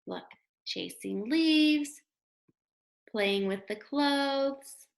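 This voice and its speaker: A woman's voice: high-pitched, lively intonation, very slow, creaky. A woman teacher giving a read-aloud lesson to young children, speaking simply and asking them questions, recorded at home. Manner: simple, child-directed talk with questions and sounded-out letters.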